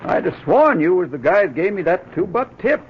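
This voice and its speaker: Hesitant folksy trucking clerk. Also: male voice, sits high, sing-song, brisk, slight background noise. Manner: hesitant, full of uhs, ungrammatical. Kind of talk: 1940s radio acting. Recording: muffled old radio broadcast.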